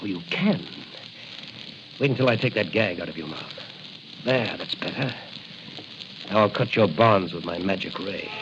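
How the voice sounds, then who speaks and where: An actor playing a bold, confident masked crime-fighter in a 1940s radio drama, with a resolute, declamatory delivery. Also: male voice, sits low, normal speed, pauses often. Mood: reassuring. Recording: noisy, muffled 1940 radio recording.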